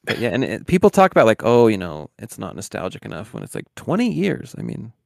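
A man with a lowish, gravelly voice that swings up and down as he talks. He chats as a podcast co-host with plenty of filler words, recorded dry and close. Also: fast, dry recording. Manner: animated, lots of "like" fillers. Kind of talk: casual chat.

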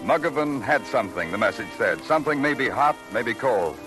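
A man's voice: medium pitch, expressive, moderate pace, natural voice, poor audio. An actor playing a terse, sardonic big-city detective who narrates his case in a vintage radio crime drama. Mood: hardboiled narration. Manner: clipped, dry, sarcastic. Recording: old radio recording with music.

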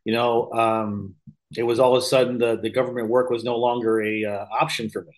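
A man's voice: mid-range voice, little pitch movement, fairly quick. A man in his fifties with an American accent, talking casually as an interview guest and rambling through personal stories with frequent self-corrections. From Missouri, United States. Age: 50s. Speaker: podcast guest, real estate investor. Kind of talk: casual anecdotal interview talk.

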